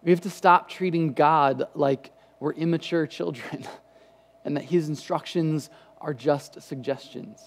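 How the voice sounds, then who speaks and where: Conversational pastor in a reverberant hall. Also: male voice, on the high side, measured pace. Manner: conversational, animated hand gestures, frequent restarts. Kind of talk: casual sermon. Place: church stage with lit patterned backdrop. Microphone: headset mic.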